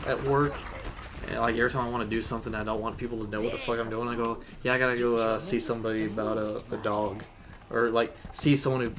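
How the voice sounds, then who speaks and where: A young man hosting his gaming channel, laid-back and rambling, swearing freely as he chats with a friend. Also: medium pitch. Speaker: channel host. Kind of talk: casual gameplay chat. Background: game music.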